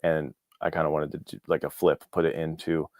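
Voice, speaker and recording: A man's voice: extremely low pitch, level tone, fairly quick, gravelly, a little mellow, dry recording, studio quality. A man talking casually and informally as an interview guest, with an enthusiastic manner. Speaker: guest, indie author.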